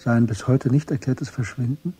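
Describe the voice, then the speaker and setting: A man narrating a literary radio drama from a script in a measured, understated way. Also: medium pitch, steady tone, dark tone, husky, faint music behind.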